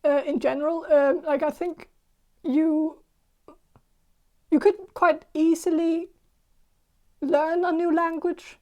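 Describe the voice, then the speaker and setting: A woman with a Danish accent, Icelandic by mother tongue, giving a casual tarot reading in a throaty, halting voice that searches for words. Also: high-pitched, level tone, darkish tone. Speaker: tarot reader.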